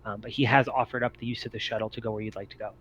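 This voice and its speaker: A man improvising narration as game master of a tabletop roleplaying game, often halting as he thinks aloud. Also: lowish voice, flat delivery, fairly bright tone, highs cut off, a little hiss or hum.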